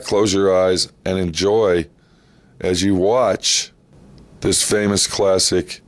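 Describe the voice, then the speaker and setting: Low-voiced man, unhurried show host. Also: dry recording. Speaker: host introducing vintage radio programs. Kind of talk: informal, unscripted spoken introduction.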